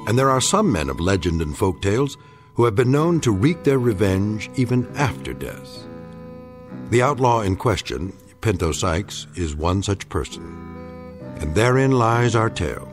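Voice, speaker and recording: A man narrating a scripted radio anthology in a measured, portentous manner. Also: on the low side, very animated, over music.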